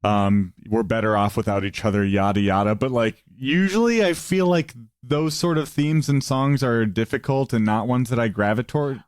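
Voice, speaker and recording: A man's voice: normal speed, few pauses, clear, resonant tone, no echo, studio quality. A man appearing as a guest musician on a podcast, chatting casually and enthusiastically, with many fillers. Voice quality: low voice. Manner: enthusiastic, hesitant, frequent "like" and "um". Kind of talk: casual conversation.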